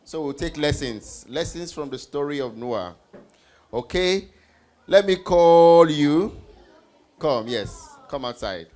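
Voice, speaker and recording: A man's voice: a little high, lively intonation, slow pace, darkish tone. A man hosting an interactive children's Bible teaching session on stage, encouraging and instructive, often prompting with questions and repeating answers. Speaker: host leading children's Bible trivia. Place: event stage before a group of children.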